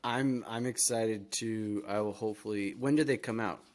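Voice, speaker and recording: A man's voice: lowish voice, fairly even intonation, normal speed, darkish tone. A man co-hosting a podcast, talking in a casual, conversational style. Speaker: podcast co-host. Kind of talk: casual chat.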